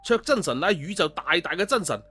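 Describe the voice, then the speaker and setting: A man voicing a childish, boastful self-styled god in an audio story, loud, insistent and repeating himself. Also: high voice, sing-song, quick pace, breathy edge, voice pushed up, full character voice. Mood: indignant refusal.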